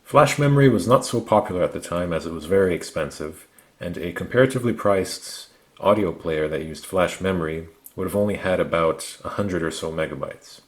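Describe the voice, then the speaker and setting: A man narrating a product review, explaining things methodically in short, measured phrases. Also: deep voice. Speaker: gadget reviewer.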